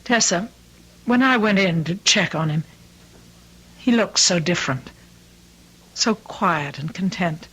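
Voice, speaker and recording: A woman's voice: lowish voice, animated, slow, pauses often, a bit bright, husky, exaggerated voice, rough recording. An actress playing an anxious, doting middle-aged mother in an old-time radio drama, speaking in an earnest, fretful way. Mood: gentle, probing.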